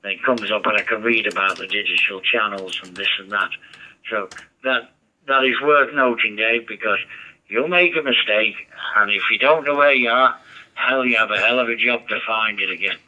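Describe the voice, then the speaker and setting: A man's voice: on the low side, normal speed, pushed and bright. A man chatting over a ham radio, rambling and giving advice. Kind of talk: casual radio chat. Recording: dull muffled radio audio.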